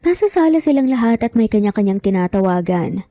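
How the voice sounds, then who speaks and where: Mellow woman storyteller, close dry sound. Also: medium pitch, animated, brisk, no room sound. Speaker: storyteller, narrator. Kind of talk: scripted narration of romance drama story.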